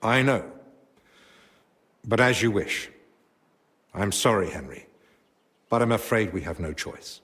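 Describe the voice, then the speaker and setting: An actor playing a learned royal physician in a medieval game drama, speaking in a measured, diplomatic, educated way. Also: male voice, fairly low pitch, lively intonation, a bit bright. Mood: resigned. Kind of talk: dramatic video game cutscene acting.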